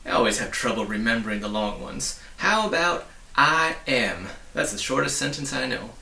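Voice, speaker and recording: A man's voice: medium pitch, expressive, normal speed, vocal fry, sharp tone, reverberant, recorded from a distance, heavily acted. A man voicing a tiny, fierce, bossy storybook policeman with a blustering, accusatory manner. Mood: brisk, officious.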